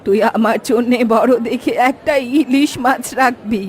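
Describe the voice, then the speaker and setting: A woman playing a brisk, bossy old village granny in a fairy-tale cartoon. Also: fairly high pitch, close to an everyday voice. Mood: bossy, busy. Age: older. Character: bossy, busy old village granny who cooks hilsa.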